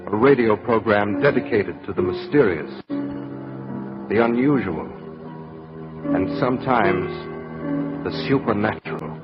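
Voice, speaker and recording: A man delivering a portentous, deliberate scripted introduction as a 1940s radio announcer. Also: lowish voice, lively intonation. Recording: muffled 1940s radio recording. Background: loud music.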